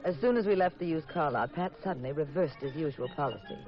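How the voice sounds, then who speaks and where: An eager, proud detective's wife telling a 1950s radio mystery. Her pitch moves in animated swoops. The recording is dull and old, with music behind her. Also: fast talker. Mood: perky, pleased. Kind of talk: 1950s radio drama narration.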